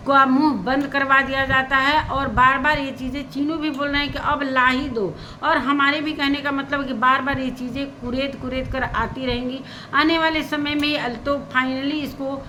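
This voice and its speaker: A woman's voice: high voice, fairly bright tone, slight background noise, poor audio. A woman vlogger giving casual, opinionated commentary, repeating her points for emphasis. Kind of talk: casual spoken commentary on social media gossip.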